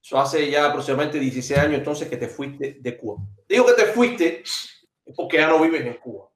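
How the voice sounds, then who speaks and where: A man interviews a guest in a somewhat raised voice. His questions come out slowly, with hesitant repeats. The room around him sounds roomy and echoey. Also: a little high, expressive. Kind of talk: conversational interview.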